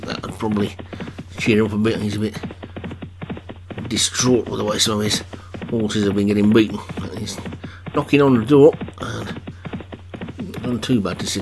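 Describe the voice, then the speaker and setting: A man commentating a video game horse race for an online league, chatting between calls, his voice getting croaky. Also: on the low side, low-quality recording.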